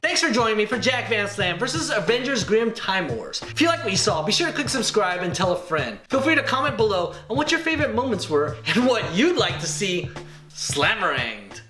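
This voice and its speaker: A man narrates a comedic movie review with a lively, swooping delivery and a showman's playfulness. His voice sits high for a man, in a roomy echo with loud music behind it. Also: fairly quick.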